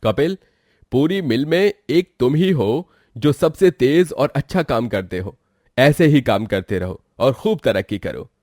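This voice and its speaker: A man's voice: mid-range voice, animated, measured pace, a little mellow, no echo, clean studio sound. A man playing a hearty, encouraging mill boss in a cartoon story. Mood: approving.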